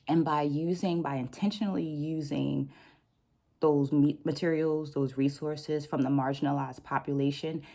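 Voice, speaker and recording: A middle-aged woman hosting a reflective vlog, explaining things deliberately and stressing key words. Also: a bass voice, dark tone. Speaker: book vlog host and dance educator. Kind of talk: reflective vlog talk with read-out quotes.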